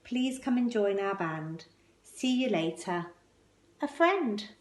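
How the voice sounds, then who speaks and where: A friendly, admiring jazz musician read by a woman extends an invitation in an unhurried, inviting way. The storybook reading sounds roomy and echoey. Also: medium pitch, lively intonation. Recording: roomy, echoing indoor sound.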